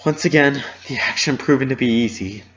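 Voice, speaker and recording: A man reading fan fiction dramatically in the first person, with emotional, halting narration. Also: medium pitch, fairly bright tone, dull audio. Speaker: amateur story reader.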